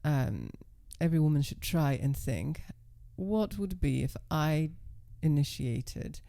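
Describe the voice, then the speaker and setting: A woman guest with a naturally low voice, speaking in a calm, level and assertive way, with slightly non-native English phrasing. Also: slow pace, vocal fry, dry recording, studio quality. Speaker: interview guest, professional dominatrix. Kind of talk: casual conversation.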